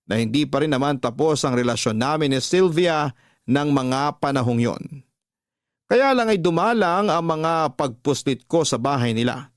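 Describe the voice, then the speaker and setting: A man narrating a radio drama in the first person as an unrepentant womanizer, in measured, confessional storytelling. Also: a little high, no echo, studio quality. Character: unrepentant womanizer confessing his affairs in a letter.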